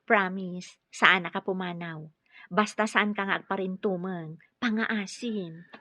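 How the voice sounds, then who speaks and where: A woman's voice: medium pitch, steady tone, a little slow, pushed and bright, dry recording. A woman playing a shy, modest young office clerk being courted in an Ilocano radio drama, with an Ilocano accent. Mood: coy.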